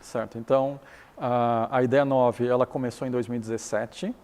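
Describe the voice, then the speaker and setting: A man's voice: medium pitch, fairly even intonation, moderate pace, a little mellow, big-room sound. A middle-aged man from Taiwan whose first language is Chinese, explaining his business in an interview, often restarting and repeating words.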